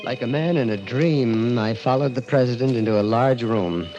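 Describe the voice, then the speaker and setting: A man's voice: medium pitch, normal speed, lightly acted. An actor playing an uneasy, desperate man caught in a macabre tale, in 1940s radio drama style. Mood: haunted, tense. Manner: hesitant in dialogue, intense and dramatic in narration. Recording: muffled old-time radio recording. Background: dramatic music.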